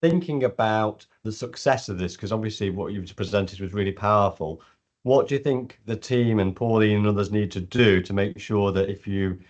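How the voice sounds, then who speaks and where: A man's voice: on the low side, normal speed, darkish tone, dull audio. A man with a British accent, a board member asking a question in a friendly, conversational way. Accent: British English.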